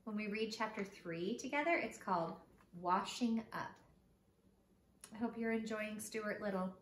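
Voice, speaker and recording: A woman's voice: mid-range voice, a little slow. Woman reading a storybook in an echoey room. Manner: expressive, addressing young listeners directly. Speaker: teacher reading a children's book aloud. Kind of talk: storybook read-aloud for children. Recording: echoey room with background noise.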